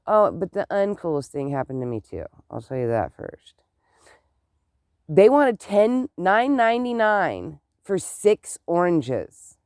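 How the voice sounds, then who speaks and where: A stuffed-up vlogger talking slowly and loosely, wandering from one thought to the next. The voice is mellow and smooth, with a congested, nasal edge from a head cold. The sound is dry and close. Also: mid-range voice, very animated, dry recording. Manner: chatty, meandering, exclaims often. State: congested, stuffed-up nose and clogged ears. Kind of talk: casual rambling chat to camera.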